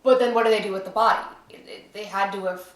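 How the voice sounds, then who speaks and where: A woman's voice: medium pitch, quick pace, lightly acted. An actress as an earnest, chatty woman, echoey room. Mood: earnest, defiant. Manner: insistent, rambling, self-deprecating. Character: earnest, stubborn, chatty woman who believes in ghosts. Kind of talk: naturalistic film drama acting. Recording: echoey room, distant mic, faint noise.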